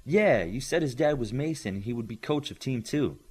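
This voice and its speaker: A man's voice: medium pitch, animated, brisk, a little mellow, breathy edge. Man playing a curious, earnest teenage boy. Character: young teenage boy with telepathy, curious, polite, a bit naive.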